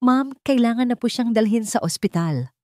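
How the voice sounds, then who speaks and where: A woman's voice: fairly high pitch, lively intonation, moderate pace, mellow, no echo, studio quality, pitched above the performer's own voice, natural voice. Woman playing an anxious female daycare worker. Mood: urgent, alarmed. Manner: respectful, worried, urgent.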